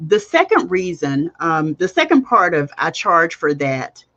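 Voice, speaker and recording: A woman's voice: on the low side, very animated, unhurried, a bit bright, muffled recording. A woman hosting a coaching podcast livestream, speaking emphatically, repeating key phrases and putting direct questions to her listeners. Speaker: podcast host and business coach.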